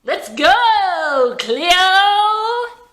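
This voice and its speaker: A woman acting out a rowdy, drunken male rock singer, crude and goading, with loud taunts and drawn-out shouts in a script reading. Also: very high voice, big pitch swings, pitched above the performer's own voice, heavily acted. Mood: challenging. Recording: roomy echo.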